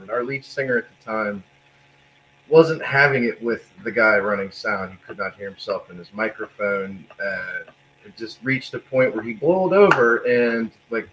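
A man's voice: on the low side, pushed and bright, a little hiss or hum, rough recording. A man from a Pennsylvania band with a Pennsylvania accent, telling laid-back, self-deprecating stories in an interview. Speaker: band member. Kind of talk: casual storytelling in interview.

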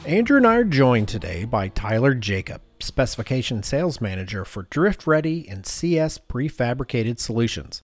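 A man's voice: medium pitch, big pitch swings, moderate pace, hardly any pauses, no echo, soft background music. A man hosting a conversational podcast, talking informally and thinking aloud as he goes. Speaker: podcast host.

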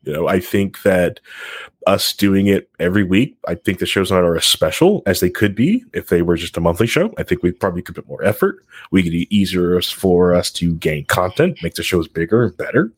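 Deep, husky man hosting a podcast. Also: expressive, a little fast, no echo. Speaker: podcast host. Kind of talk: casual podcast chat.

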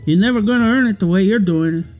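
Guy doing a sketchy slangy hustler at a club, sly, slightly high voice over music. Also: quick pace, a little mellow, lightly acted. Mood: sly, scornful. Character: shady, cagey club insider peddling secrets. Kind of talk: radio comedy acting. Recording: music behind the voice.

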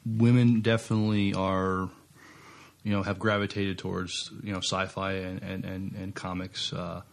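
A man co-hosting a casual podcast, talking in a halting, hesitant way. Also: low voice, flat delivery, vocal fry. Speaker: podcast co-host.

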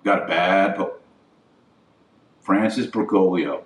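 A man with a low voice speaks as the host of a religious podcast. He is blunt and emphatic, hammering his points through repetition in an impassioned monologue. The sound is roomy and echoing, as if he sits back from the microphone. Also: takes it slowly. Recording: roomy echo, distant from mic.

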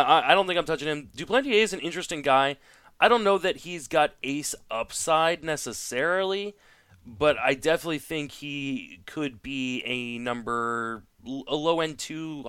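A man's voice: a little high, expressive, normal speed, a bit bright, smooth, no room sound. A man hosting a podcast, talking casually and thinking aloud about sports, a native French speaker. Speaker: podcast host. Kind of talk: casual sports talk, fantasy baseball discussion.

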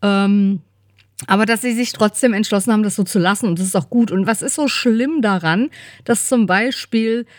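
A woman co-hosting a casual podcast, slightly congested from a cold, talking in an animated, colloquial way and often seeking agreement. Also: medium pitch, moderate pace, dry recording, studio quality. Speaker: podcast co-host.

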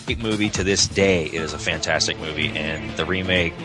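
A man's voice: very deep voice, fairly quick, music in the background. A man chatting casually as a podcast guest, opinionated and given to wry, joking remarks. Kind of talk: casual chat.

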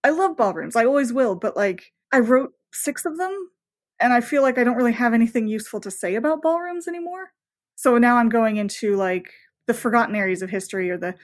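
A woman novelist talking casually as an interview guest, enthusiastic and rambling, often voicing her own thoughts as asides. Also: mid-range voice.

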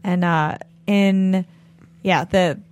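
A woman co-hosting a podcast, telling stories in a laid-back way with a slightly raspy voice and frequent laughter. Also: fairly low pitch, steady tone, very slow, darkish tone. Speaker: podcast co-host. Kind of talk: casual chat.

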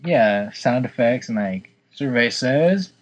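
Lively playful man, noisy background. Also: medium pitch. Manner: playful, quick quips. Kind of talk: casual chat. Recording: clear background noise.

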